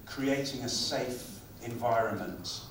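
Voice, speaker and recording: A man giving a conference talk on language teaching, speaking in a lively, conversational way. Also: medium pitch, slow, background noise. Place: conference hall. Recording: very echoey hall, far from mic.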